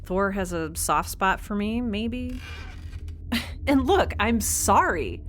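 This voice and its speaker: Woman as a lively, defiant young spacer, sarcastic. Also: mid-range voice, slow, a little hiss or hum. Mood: breezy, sarcastic. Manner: flippant, casual, expressive. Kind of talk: scripted audio drama acting.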